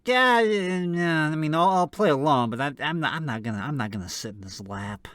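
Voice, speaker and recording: A man co-hosting a radio show with casual banter, speaking in a hesitant, halting way. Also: on the high side, expressive, a bit bright, dry recording. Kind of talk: casual scripted banter and introduction.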